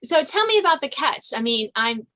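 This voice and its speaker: A woman hosting a podcast in a chatty, casual interview style, quick to laugh. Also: sits high, very animated, sharp tone. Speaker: podcast host.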